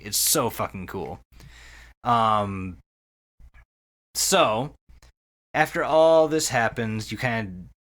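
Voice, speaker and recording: A man's voice: on the low side, bright, forceful tone. A man hosting a podcast, recapping a story casually and enthusiastically, swearing freely and repeating words. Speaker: podcast host.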